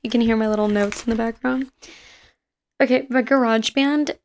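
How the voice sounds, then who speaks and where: A woman in her twenties with a raspy voice, hosting a casual podcast and rambling quickly through personal stories. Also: fairly high pitch, steady tone, a little hiss or hum. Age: 20s. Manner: fast-talking and rambling. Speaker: podcast host. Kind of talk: casual chat. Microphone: home recording setup.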